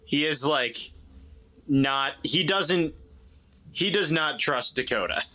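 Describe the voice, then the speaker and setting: A man's voice: a little high, lively intonation, takes it slowly, bright, forceful tone, low-quality recording. A man talking casually as a player in a tabletop role-play game, explaining and checking things.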